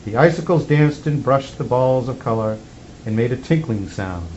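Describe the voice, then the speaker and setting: A slightly muffled recording carries a man reading a children's story as Santa. His voice sits at an average pitch. He tells it expressively, with measured phrasing, over faint background noise. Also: animated, darkish tone. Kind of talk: storybook read-aloud for children.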